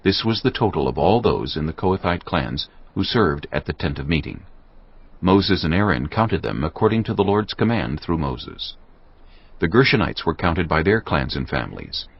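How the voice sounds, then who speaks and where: A man narrating scripture from a script in a solemn, measured manner. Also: sits low, lively intonation, gravelly, slightly rough, no room sound. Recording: slightly dull, muffled sound.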